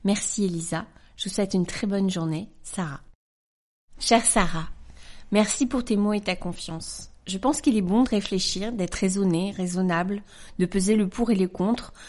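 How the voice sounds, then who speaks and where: Gentle woman in her forties reading. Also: mid-range voice. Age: 40s. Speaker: podcast host. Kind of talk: scripted reading of a letter and her reply.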